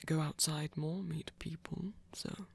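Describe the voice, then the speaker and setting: Performer acting a breathy, flirty vampire fledgling, low and slow. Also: darkish tone, heavily acted. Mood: amused, hesitant. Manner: formal, careful phrasing, teasing. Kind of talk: breathy ASMR roleplay acting.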